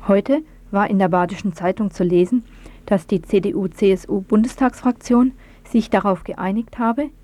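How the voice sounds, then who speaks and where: A woman's voice: medium pitch, normal speed, warm tone. A woman presenting a scripted news report on the radio.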